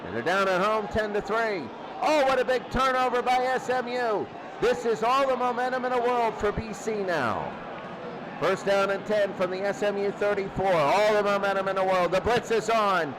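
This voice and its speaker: A man's voice: sits high, moderate pace. A man doing play-by-play American football commentary in short, exclamatory present-tense bursts. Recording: noisy recording with loud crowd din. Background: loud crowd noise.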